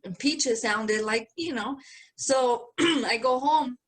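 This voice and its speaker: A young woman with a somewhat high voice hosts a casual podcast. She tells rambling stories with plenty of swearing and keeps calling her friend "bro". Her delivery is relaxed and loose. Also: slow pace. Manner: profane, laid-back storytelling, says "bro" a lot. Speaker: podcast co-host. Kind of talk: casual chat.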